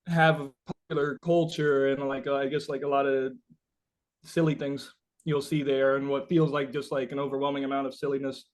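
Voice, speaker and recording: Man, fairly high pitch, interviewed musician, casual, reflective, meandering philosophical delivery. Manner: rambling, philosophical, thinking aloud.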